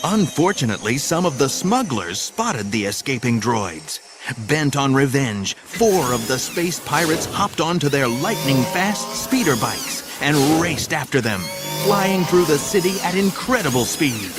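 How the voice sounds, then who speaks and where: A man's voice: a little high, big pitch swings, a little slow. A man narrating a scripted adventure drama in a theatrical, suspenseful way, with music behind him.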